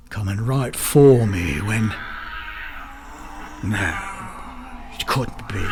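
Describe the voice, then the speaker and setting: An actor playing a guilt-ridden, drug-addled London murderer giving a halting, theatrical confession in a radio drama. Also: male voice, medium pitch, slightly rough, heavily acted. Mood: uneasy.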